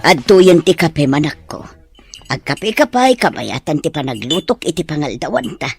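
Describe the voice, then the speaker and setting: A woman's voice: low voice, big pitch swings, normal speed, gravelly, faint music behind, heavily acted. An actress with a Filipino accent playing a caring older mother in a radio drama. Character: caring older mother serving morning coffee.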